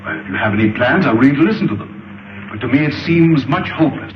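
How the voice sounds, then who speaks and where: An actor playing a grave, learned scientist in an old film serial, with a formal, emphatic, professorial delivery. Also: male voice, mid-range voice, lively intonation, fast talker, lightly acted. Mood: grave, earnest. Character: grave, learned male scientist and leader of the future. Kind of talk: 1930s film serial acting. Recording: dull, hissy old film soundtrack, roomy.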